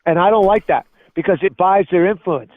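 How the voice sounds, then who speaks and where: A man's voice: sits high, a little fast, no echo, phone-quality sound. A middle-aged or older man from Spain talking politics on a call, insistent and repeating himself for emphasis. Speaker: caller. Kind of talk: opinionated political conversation. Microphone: phone or voice call.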